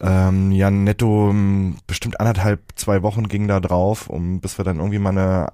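A man speaking as a guest developer, explaining technical matters off the cuff in a halting way with frequent hesitations. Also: sits low, flat delivery, warm tone, smooth, no echo, studio quality. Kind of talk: spontaneous technical explanation in discussion.

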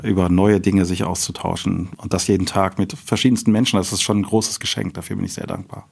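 Low, gravelly man talking fast. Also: fairly even intonation. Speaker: interview guest, company founder. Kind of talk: casual interview conversation.

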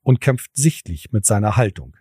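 A man's voice: fairly low pitch, animated, normal speed, warm tone, breathy edge, no echo, clean studio sound. A man narrating an audio drama from a script.

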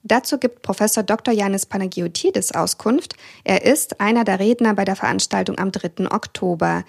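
Husky, creaky-voiced woman presenter. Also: mid-range voice, brisk, no room sound, clean studio sound. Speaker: interviewer and presenter. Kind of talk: scripted interview questions and introductions.

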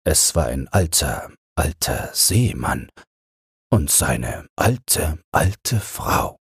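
A man narrating a bedtime fairy tale audiobook in a calm, soothing, measured manner. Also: a bass voice, gravelly, slightly rough, dry recording, studio quality.

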